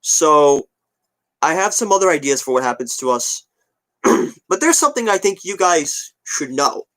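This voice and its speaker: A man's voice: on the high side, very animated, normal speed, fairly bright tone, low-quality recording. A twenty-year-old man chatting casually to his viewers, rambling and repeating himself in an offhand way. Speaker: video creator updating viewers. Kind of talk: casual chat to viewers, answering comments.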